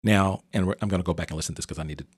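A man's voice: sits low, very fast, dry recording, clean studio sound. A man interviewing in a casual, conversational way as the host.

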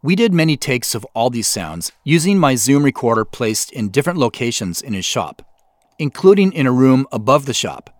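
A middle-aged man with an American accent, giving measured, explanatory scripted commentary as the creator of a show. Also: mid-range voice, big pitch swings, no room sound. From US Pacific Northwest. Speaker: audio drama creator and host. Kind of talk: scripted behind-the-scenes commentary.

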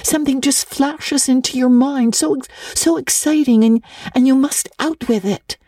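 Storybook orphan girl: high, soft-edged, impulsive, dramatic, earnest and breathless. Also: normal speed, no room sound. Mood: excited protest. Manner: breathless, theatrical, pleading delivery. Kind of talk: dramatic audiobook reading of classic novel.

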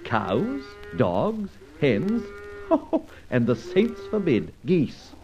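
Theatrical, swooping gentleman adventurer. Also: fairly high pitch, wildly swooping pitch, drawn-out words, a crowd in the background, heavily acted. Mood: cheerful. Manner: jaunty, theatrical, clipped gentlemanly phrasing. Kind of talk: old radio serial acting with first-person narration. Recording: muffled old radio serial recording.

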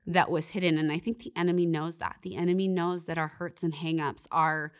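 Young woman on a faith podcast, kinda low voice, earnest and reflective, lots of "like". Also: little pitch movement, a little fast. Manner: earnest, reflective, often says "like" and "you know". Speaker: podcast guest. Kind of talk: faith-based podcast conversation.

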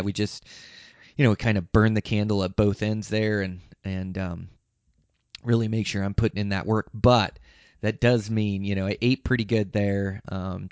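Male American podcast host, low voice, slightly hoarse and worn out, loose rambling monologue, somewhat muffled recording. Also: a little mellow. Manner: rambling, informal, hesitant phrasing. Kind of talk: casual podcast outro monologue. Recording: echoey room, slightly dull sound.

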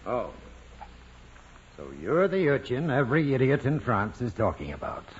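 An actor playing a gruff, scornful parish priest in an old radio drama, brusque and imperious. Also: male voice, medium pitch, big pitch swings, moderate pace, natural voice. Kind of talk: 1940s-50s radio drama acting. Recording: dull, hissy old radio broadcast.

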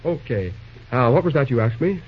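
An actor playing an earnest, plain-spoken young railroad dispatcher in an old-time radio drama. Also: male voice, medium pitch, animated, fairly quick, natural voice. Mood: friendly. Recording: muffled old radio recording, faint noise.

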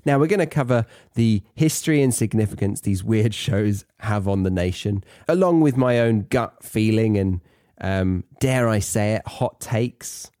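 A man's voice: lowish voice, unhurried, warm tone, no echo, studio quality. A British man hosting an educational English podcast, talking in a chatty, conversational and wry way. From Britain. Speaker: podcast host and English teacher.

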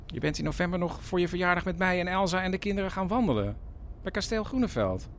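Dry, teasing grown son. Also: male voice, high voice, quick pace, slight background noise. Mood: matter-of-fact. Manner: deadpan, ironic. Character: dry, teasing adult son of bickering family. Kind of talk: audio drama acting.

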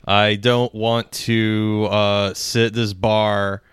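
Man, young adult, low voice, dry close sound, rambling and swearing, casual podcast chat. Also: level tone, slow, a bit bright, smooth, no room sound. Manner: rambling, profane, jokey. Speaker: podcast co-host.

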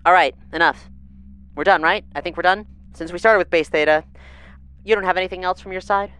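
A woman's voice: lowish voice, brisk, a bit bright, clear, resonant tone, lightly acted. A woman playing a harried, bossy moon base leader in an audio drama, blunt, informal and sarcastic. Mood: irritated.

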